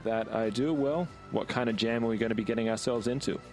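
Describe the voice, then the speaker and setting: A man playing a stern, wry cyberpunk mercenary with a gruff, laconic, teasing manner. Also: mid-range voice, fast, faint music behind, lightly acted. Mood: amused.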